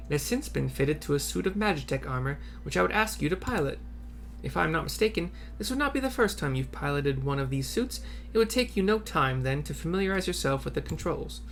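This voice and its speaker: A man voicing a formal, earnest female military lieutenant giving a measured briefing in a fantasy video game. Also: a little high, creaky.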